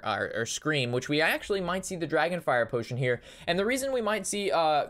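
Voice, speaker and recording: A young man casting and reviewing a card game match, excitable and analytical, his voice strained from talking a long time. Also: on the high side. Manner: excitable, analytical, talks through plays. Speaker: Hearthstone caster reviewing a match. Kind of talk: casual game commentary.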